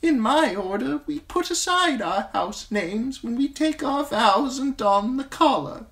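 Woman acting ancient blind old man, weary, gentle, slow grave delivery, high thin voice. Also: expressive. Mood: grave, sorrowful.

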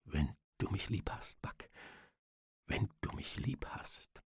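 A man voicing a tough but tender gold-rush dog owner in hoarse whispers and choked cries, for an audiobook. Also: takes it slowly, no room sound, clean studio sound, close to an everyday voice. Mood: tender, urgent.

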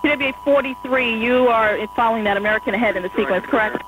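A woman air traffic controller in an airport tower, giving quick, polite instructions to pilots over the radio. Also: a little high, highs cut off. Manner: brisk, polite and apologetic. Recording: noisy radio transmission with hum.

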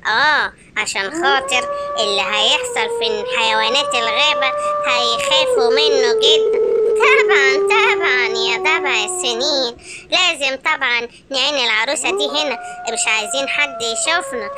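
A woman voicing a scheming, mocking male animal villain in a children's story, with an Egyptian accent and drawn-out, conspiratorial phrasing. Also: sits high, lively intonation, over loud music.

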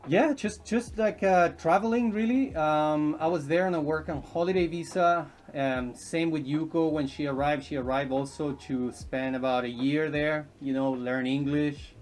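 A man in his thirties from Chile, a podcast guest chatting casually and haltingly, with many ums and restarts, recorded from a distance in a living room. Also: on the high side, steady tone, a little mellow. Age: 30s. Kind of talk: casual interview chat. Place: indoors, home living room.

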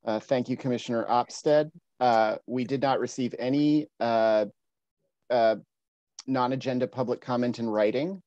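A man on city staff reading out meeting procedures over a video call in a measured, scripted way. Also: mid-range voice, steady tone, mellow, dry recording. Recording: dull, dry video-call audio.

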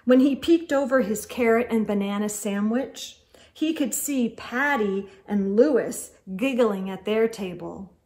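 A middle-aged woman with a fairly high voice reads a children's story aloud. She takes her time, phrasing each line clearly and expressively for young listeners. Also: measured pace, a little mellow. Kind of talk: expressive picture-book read-aloud for children. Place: indoor room with bookshelves.

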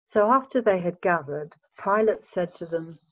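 A woman's voice: on the low side, fairly bright tone. Woman reading scripture, dull lo-fi recording. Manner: measured, formal read-aloud delivery. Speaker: narrator of a church scripture reading. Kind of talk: dramatic Bible reading, scripted narration.

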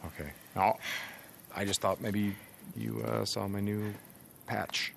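An actor playing a brash, sarcastic but tender-hearted American biker in a video game, with a gravelly voice. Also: male voice, on the low side, little pitch movement, slow pace, a bit bright, a little hiss or hum, full character voice. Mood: soothing, hesitant. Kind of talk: naturalistic video game acting.

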